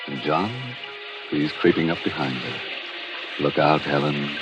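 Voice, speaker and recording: A man's voice: rumbling low, steady tone, measured pace. A man hosting a 1940s radio horror show in a tongue-in-cheek, mock-ghoulish manner. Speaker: show host. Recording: dull old radio recording, music behind.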